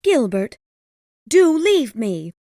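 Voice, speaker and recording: An anxious young woman, guarded and sorrowful, pleading in a raised, strained voice, as a male storyteller puts her on. Her speech swings up and down with lively pitch and drawn-out, slow phrasing. The sound is close and dry. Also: dry recording, exaggerated voice. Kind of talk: audiobook character voice.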